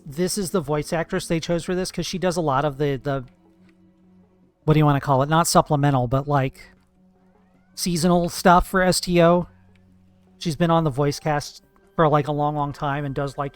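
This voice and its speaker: A man's voice: fairly high pitch, fairly even intonation, moderate pace, clear, resonant tone, soft background music. A man streaming live, chatting casually and profanely with his viewers while gaming, playful and teasing.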